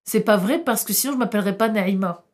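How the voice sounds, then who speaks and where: A woman's voice: medium pitch, fast talker. A middle-aged woman born in France whose first language was Arabic, speaking as a historian guest on a podcast interview, impassioned, with rhetorical questions and frequent hesitations.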